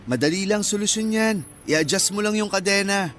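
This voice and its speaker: An actor playing an obliging young mechanic in a Filipino radio drama, polite and casual and a little bashful. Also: male voice, sits high, moderate pace, a bit bright, faint music behind. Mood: polite, helpful. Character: modest, obliging young male mechanic, shy with women.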